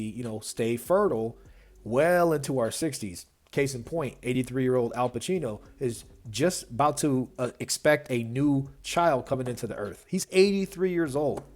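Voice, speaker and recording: An opinionated man runs a reaction video and talks through the clip in a loose, casual way. He rambles as he goes and fills the gaps with "you know" and "um." His voice sits at an ordinary middle pitch. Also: a little mellow. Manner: opinionated, rambling with many fillers.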